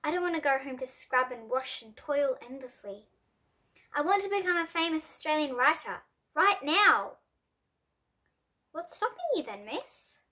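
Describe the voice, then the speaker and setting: A young girl reads a children's story aloud in a high, clear voice. She adds expression to the dialogue, lifting her tone for the different characters. The sound stays bright and sharp throughout. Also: lively intonation, normal speed. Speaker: storybook reader. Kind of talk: reading a picture book aloud.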